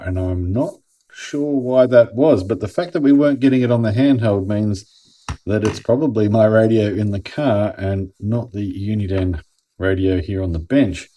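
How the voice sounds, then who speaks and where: A man's voice: fairly low pitch, moderate pace, warm tone. An Australian man giving a casual, conversational product review and explaining things as he goes. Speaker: host of a product review channel.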